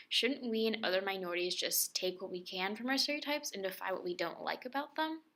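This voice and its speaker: A young woman reading a personal essay as voice-over, soft and reflective, often close to a whisper. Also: medium pitch. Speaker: student filmmaker narrating.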